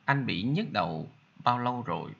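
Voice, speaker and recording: A man with a Vietnamese accent teaching English, reading each sentence in Vietnamese and then repeating it slowly in English for learners to copy. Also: medium pitch, lively intonation, gravelly, bright, forceful tone, muffled recording. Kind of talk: slow bilingual drill, repeating each sentence.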